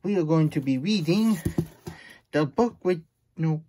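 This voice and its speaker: Man voicing a husky, slow-talking childlike plush hedgehog. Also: on the high side, animated, warm tone. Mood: exasperated. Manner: stammering, childish grammar, exasperated asides. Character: plush hedgehog storyteller, childlike, fretful and grumbly. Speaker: host of children's story time. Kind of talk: puppet read-aloud with chatty asides.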